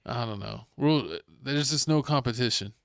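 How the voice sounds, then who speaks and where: A man's voice: mid-range voice, moderate pace, vocal fry, clear, resonant tone, dry recording, clean studio sound. A Texan man hosting a podcast, chatting casually and rambling about video games, sometimes acting out game scenarios. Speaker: podcast host. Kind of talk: casual sports chat.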